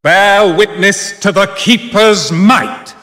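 An actor playing an imperious, solemn god of time in a fantasy video game, declaiming in a grand, measured, theatrical way. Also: male voice, sits high, pushed and bright, exaggerated voice. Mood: imperious, commanding. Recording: long reverberant hall effect.

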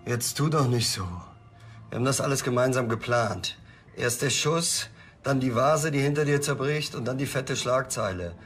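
An actor playing a slick, self-important manager and schemer in a TV crime comedy. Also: male voice, medium pitch, moderate pace, fairly bright tone, husky, faint music behind. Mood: desperate.

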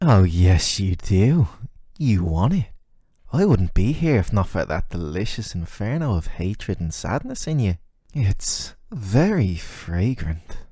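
A man's voice: deep voice, very animated, takes it slowly, warm tone, husky, no room sound, clean studio sound, full character voice. A man voicing a flirty, sardonic, ageless male demon who teases the listener in casual, slangy, intimate roleplay audio. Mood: seductive, tempting.

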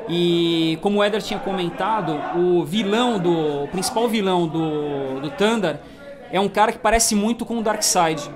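A man's voice: high-pitched, moderate pace, crowd noise. A man from Brazil at a collectors' table chat, explaining cartoon history and peppering his talk with tag questions. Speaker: toy collector guest. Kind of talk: casual panel chat. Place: indoor room, seated at a table of toys.

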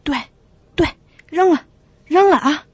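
A woman's voice: extremely high pitch, unhurried, no echo. A woman playing a fussy, superstitious older neighbour with a folksy, nagging manner in a radio drama. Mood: insistent. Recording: muffled audio under loud music.

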